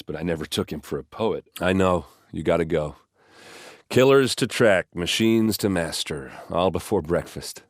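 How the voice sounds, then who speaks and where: An actor playing a brash, hard-drinking warrior captain in a video game, blunt and informal, gruff but soft-hearted. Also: male voice, sits low, no room sound, clean studio sound. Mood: businesslike. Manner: blunt, informal, halting when emotional. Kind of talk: video game character dialogue.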